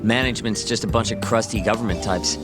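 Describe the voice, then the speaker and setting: A man's voice: on the low side, steady tone, quick pace, close to an everyday voice. An actor voicing a glib male informant in a game dub, laying out shady dealings in a casual, wry, slangy way. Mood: explanatory, wry. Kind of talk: English game dub dialogue, conversational exposition. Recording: music behind the voice.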